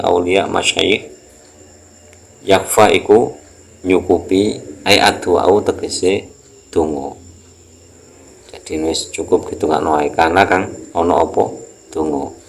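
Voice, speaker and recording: Male religious teacher, Javanese accent, very low creaky voice, halting explanatory delivery of a text lesson. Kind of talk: Islamic text lesson, reading aloud and explaining.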